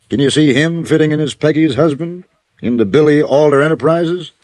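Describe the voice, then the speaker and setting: A man's voice: on the high side, normal speed, darkish tone, slight background noise, close to an everyday voice, poor audio. An actor playing a middle-aged wealthy father, formal, commanding and condescending, in a vintage radio drama. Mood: scornful amusement. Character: stern wealthy businessman father, courteous but snobbish. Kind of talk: 1950s radio drama acting.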